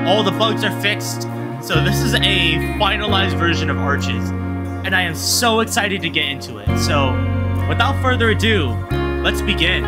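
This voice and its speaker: An upbeat, excitable man hosting a visual novel playthrough, talking to his viewers as he goes. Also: sits high. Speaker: host of a video game let's-play channel. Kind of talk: enthusiastic visual novel playthrough commentary with dramatic read-aloud narration. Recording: music playing behind the voice.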